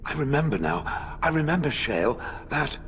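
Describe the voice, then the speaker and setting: An actor voicing a haughty, sardonic ancient stone golem in a video game, with stilted, condescending, deliberate phrasing. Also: male voice, a little high, sing-song, a bit bright, slight background noise. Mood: awed recollection. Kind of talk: dramatic video game acting.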